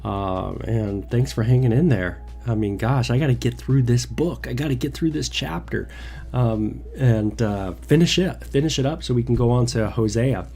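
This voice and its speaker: A man's voice: mid-range voice, moderate pace, vocal fry, dark tone, breathy edge, soft background music. A man hosting a casual Bible devotional livestream from home, a little nasal from a cold, rambling with many fillers and restarts. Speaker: devotional host.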